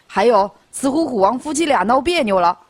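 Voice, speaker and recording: Woman acting a high, bouncy, gossiping servant boy. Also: brisk, no echo. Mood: gleeful gossip. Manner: gossipy, animated, deferential. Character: chatty, eager young servant boy gossiping to his master. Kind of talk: Chinese audio drama acting.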